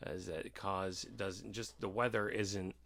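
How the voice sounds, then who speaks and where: Man, low pitch, gravelly fry, casual debate, earnest explaining. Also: steady tone, slow pace, a bit bright. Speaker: podcast participant in discussion.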